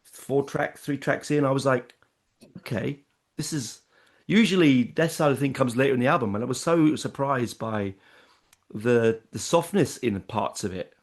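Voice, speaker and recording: A man's voice: medium pitch, normal speed. A man interviewing a musician, chatty and enthusiastic about the album. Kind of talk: casual interview chat.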